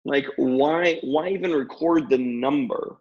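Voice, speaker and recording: A man talks as a guest in a relaxed intellectual discussion. His voice has a gravelly fry to it. He is agreeable and emphatic, and the audio is somewhat dull and lo-fi. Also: mid-range voice, a little slow. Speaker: podcast guest. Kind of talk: casual theological discussion.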